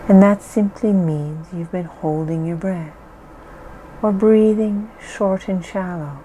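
Woman, guided-meditation guide: very slow, mellow, reassuring, faint hiss behind. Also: lowish voice, poor audio. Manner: soothing, reassuring, deliberate pauses.

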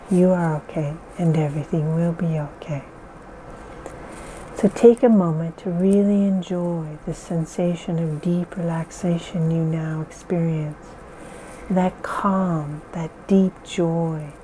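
A woman leading a guided relaxation in slow, soothing, repetitive phrases. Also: fairly low pitch, dark tone, slight background noise, rough recording. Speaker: meditation guide.

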